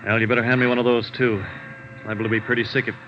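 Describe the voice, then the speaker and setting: An American man acting a calm, businesslike ambulance intern in a 1950s radio drama, quiet and matter-of-fact. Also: mid-range voice, racing, muffled recording, noisy background, close to an everyday voice. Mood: calm, focused. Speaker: supporting radio actor.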